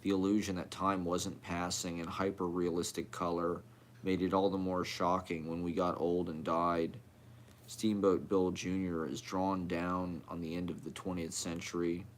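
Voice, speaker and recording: A man reads poetry in a low-pitched voice that stays very even. He delivers it in short, clipped fragments, one image at a time. A faint layer of ambient noise sits behind him. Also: normal speed. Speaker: poet. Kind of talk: poetry reading.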